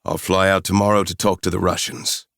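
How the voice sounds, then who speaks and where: A man voicing a gruff, blunt South African mercenary leader in his late forties, with a South African accent and a gravelly voice. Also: sits low, flat delivery, a little fast, a bit bright, dry recording, clean studio sound. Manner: blunt, surly.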